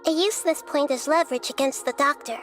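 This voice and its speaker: Soft-spoken girl goddess of wisdom, high and measured, game music faint behind. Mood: calm. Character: gentle young girl goddess of wisdom, calm and thoughtful. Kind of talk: video game dialogue.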